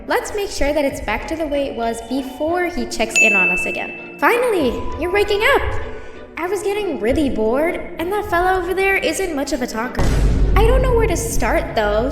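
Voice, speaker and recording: A woman's voice: high voice, sing-song, moderate pace. A woman voicing a chirpy, bossy, anxious doll-like teacher creature in a video game. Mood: chirpy, secretive. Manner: chirpy, prim, urgent. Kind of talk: video game character acting. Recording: echoey hall, distant, music behind.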